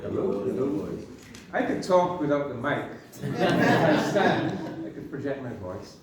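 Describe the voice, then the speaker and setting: A man's voice: mid-range voice, very animated, measured pace, over a noisy crowd. A man, an ambassador, opening his talk informally and joking about a failing microphone. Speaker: ambassador speaker. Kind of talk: public talk at a community meeting. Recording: echoey hall, distant mic, faint ambience.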